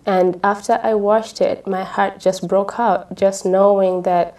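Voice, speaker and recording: A woman's voice: mid-range voice, level tone, a little slow, a little mellow. A woman from Uganda with a Ugandan accent, giving a personal account as an interview guest. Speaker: charity liaison, interview guest. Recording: somewhat distant from the mic.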